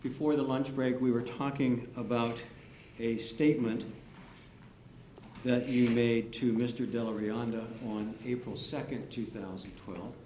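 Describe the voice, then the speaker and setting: Male attorney, mid-pitch, deliberate leading questions, roomy courtroom, light hiss. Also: fairly even intonation, mellow. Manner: methodical, leading yes-or-no questions. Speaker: defense attorney. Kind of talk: courtroom cross-examination. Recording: echoey courtroom, faint hiss.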